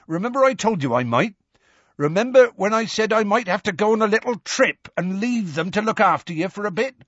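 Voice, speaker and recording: A man putting on a loud gruff half-giant gamekeeper for a storybook reading, voice climbing high as he coaxes and scolds, lively and swooping. The sound is husky and a little dull, with a dry close feel. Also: brisk, dry recording. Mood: coaxing. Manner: shouting up, coaxing and scolding.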